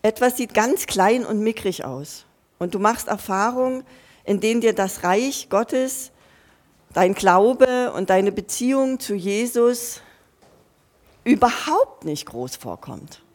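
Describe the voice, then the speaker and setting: A woman delivering a sermon at an unhurried, slow pace. Her voice sits in a middle pitch, and she talks to her listeners in an earnest, personal way. She often restarts and repeats phrases as she works through her thoughts. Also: animated, darkish tone. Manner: restarts and repeats phrases while thinking aloud. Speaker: preacher.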